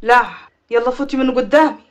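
A woman's voice: high-pitched, fairly even intonation, quick pace, close to an everyday voice. A woman voicing a stern, scolding village father with an Upper Egyptian accent and a commanding manner, in an audio story. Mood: stern, angry. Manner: harsh, commanding.

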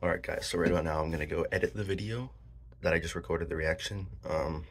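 Teenage male, vlogger, low voice, laid-back casual chat, handheld phone, faint background noise. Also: steady tone, fast. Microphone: phone at arm's length. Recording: noisy handheld phone recording.

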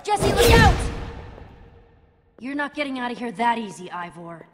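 A brave, confrontational young heroine from a video game. She speaks directly and urgently in a slightly high voice with lively swings. There is hall reverb around her. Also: normal speed, over loud music. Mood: urgent, defiant.

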